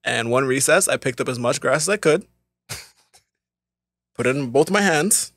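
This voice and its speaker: Young man, podcast co-host, animated storytelling, dry close mic. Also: mid-range voice, moderate pace, dry recording. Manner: animated, acts out quotes in voices. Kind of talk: casual storytelling.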